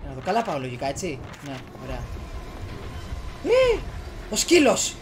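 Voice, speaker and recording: A young man, giving casual let's play commentary in an excitable way, talking to himself and repeating words rapidly. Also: sits high, slight background noise. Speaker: gaming YouTuber. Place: small room at home, indoors. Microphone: headset on, desktop USB condenser microphone. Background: horror game soundtrack and effects.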